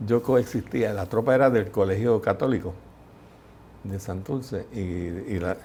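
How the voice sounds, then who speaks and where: An older man with a Puerto Rican accent, a guest telling lively stories in an interview. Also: fairly low pitch, a little slow, a little hiss or hum. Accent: Puerto Rican Spanish. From Puerto Rico. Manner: lively anecdotal storyteller, mimics voices in quotes. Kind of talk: storytelling interview.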